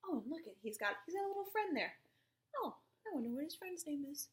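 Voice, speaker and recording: A young woman reading a picture book aloud to small children, asking them questions and often dropping into hushed asides. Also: high-pitched. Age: young adult. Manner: animated, asks the child listener questions and pauses for answers. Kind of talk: interactive storybook read-aloud for small children.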